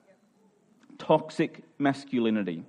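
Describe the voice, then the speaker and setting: A man in his forties preaching a sermon, earnest and emphatic, sometimes dropping to a quiet voice. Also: mid-range voice, lively intonation, unhurried. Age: 40s. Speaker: pastor.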